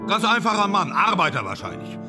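An actor in a radio drama playing a vain, guilt-ridden, drunken film actor who rambles and justifies himself theatrically. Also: male voice, high voice, big pitch swings, brisk, over loud music. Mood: excited, amused. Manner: theatrical, rambling, self-pitying, swinging from declaiming to muttering. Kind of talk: German radio drama acting.

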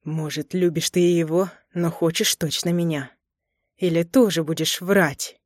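A woman's voice: lowish voice, fairly even intonation, moderate pace, darkish tone, no echo, clean studio sound, close to an everyday voice. A woman voicing an angry, possessive young husband with a biting, ironic manner in an audiobook story. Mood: jealous anger. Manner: biting, sarcastic, growling delivery.

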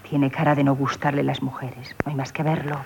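An actress playing a shrewd, flattering innkeeper, coquettish and chatty, in a radio play. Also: female voice, extremely low pitch, fairly quick, vocal fry, slight background noise. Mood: flattering, deferential. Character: shrewd, flattering, independent young innkeeper woman. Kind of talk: theatrical radio play acting in Castilian Spanish.

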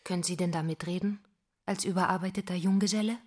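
A woman's voice: on the low side, fairly even intonation, fast talker, darkish tone, slightly rough. An actress playing a pupil's mother in a radio drama, seductive and menacing, brazen and wounded, with a provocative, insinuating delivery. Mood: flirtatious, pushy. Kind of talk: stage-like radio drama dialogue.

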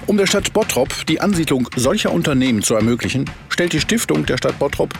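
An actor playing a formal, factual news reporter reading a scripted report in a radio play. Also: male voice, fairly high pitch, lively intonation, a little fast, vocal fry, noisy background. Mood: matter-of-fact.

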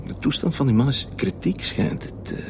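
A hesitant, apologetic male witness played by an actor speaks with audible fry. He has a Flemish accent, and there is clear ambient noise around him in this radio drama. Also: medium pitch, lively intonation, moderate pace, natural voice. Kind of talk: naturalistic radio drama dialogue.

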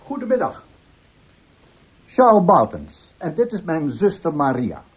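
An actor playing an indignant, haughty brother being questioned by police in a radio drama. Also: male voice, on the high side, big pitch swings, measured pace, background noise. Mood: curt, formal. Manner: stammering when flustered, haughty. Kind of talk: Dutch radio drama acting.

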